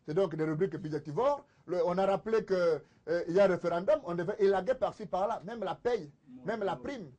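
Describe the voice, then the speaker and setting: A man's voice: sits high, a little fast, dark tone, dull audio. A man with a Congolese accent arguing insistently in a political TV debate, repeating phrases for emphasis. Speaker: debate panelist, political commentator.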